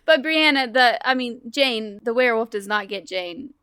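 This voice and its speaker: Female podcast player, casual and reactive, very high pitched, bright tone. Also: animated, moderate pace, clean tone, no room sound, studio quality. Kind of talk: casual chat.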